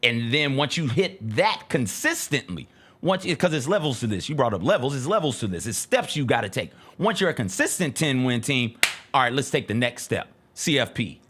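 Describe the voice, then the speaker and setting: A man's voice: medium pitch, sing-song, moderate pace, fairly bright tone, slight background noise. A man on a sports talk show debating off the cuff, making emphatic, step-by-step points and repeating phrases for weight.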